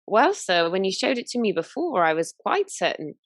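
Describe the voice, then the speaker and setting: A woman's voice: mid-range voice, very animated, normal speed, no echo, clean studio sound. A woman playing a deferential, careful and hesitant waitress giving evidence in a period radio play. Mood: uncertain.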